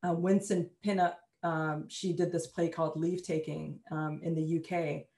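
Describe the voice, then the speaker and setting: A woman's voice: low-pitched, little pitch movement, moderate pace, creaky, dark tone. A woman from Canada speaking as a panelist, conversational and frequently using "you know". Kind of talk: panel discussion. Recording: echoey room.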